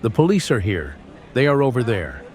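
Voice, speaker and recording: Guy doing an exclamatory office dude in an audio story, blunt and startled, average pitch. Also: sing-song, normal speed, darkish tone, natural voice. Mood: anxious. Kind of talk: dramatised audio story dialogue.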